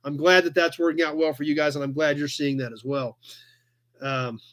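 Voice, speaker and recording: A man in his forties with an American accent and a slightly high-pitched voice hosts a casual live chat. He talks in an easygoing, rambling way, reading comments and answering them conversationally. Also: steady tone, moderate pace, a bit bright. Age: 40s. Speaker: live chat show host.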